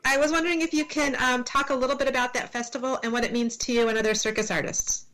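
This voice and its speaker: A woman's voice: high-pitched, steady tone, fast, fairly bright tone, poor audio. A woman hosting a podcast interview, asking friendly, encouraging questions.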